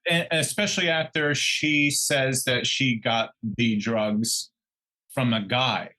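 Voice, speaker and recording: A man's voice: mid-range voice, steady tone, takes it slowly, bright, forceful tone. A man co-hosting a true crime podcast, chatting informally and without a script. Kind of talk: casual co-hosted podcast chat.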